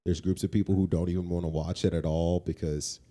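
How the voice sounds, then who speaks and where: Emphatic man with a mellow, lowish voice. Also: flat delivery, brisk. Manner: emphatic, moralizing, repeats points for weight. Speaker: podcast co-host. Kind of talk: conversational opinion on faith.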